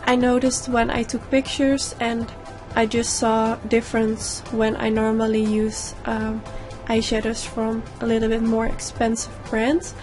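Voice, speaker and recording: A young woman with a slightly hoarse voice from a sore throat, calmly explaining each step of a makeup tutorial in a voice-over. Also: fairly high pitch. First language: Dutch. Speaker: makeup YouTuber.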